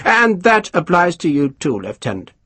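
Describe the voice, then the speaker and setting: A man's voice: high voice, sing-song, moderate pace, sharp tone, breathy edge, no room sound, pitched above the performer's own voice, heavily acted. Man voicing a pompous, snappish colonel. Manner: haughty, snappish, condescending. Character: pompous scheming male British colonel. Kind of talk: audiobook character voice.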